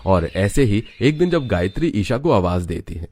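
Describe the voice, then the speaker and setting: Low-pitched man narrating a story. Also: big pitch swings, normal speed, mellow.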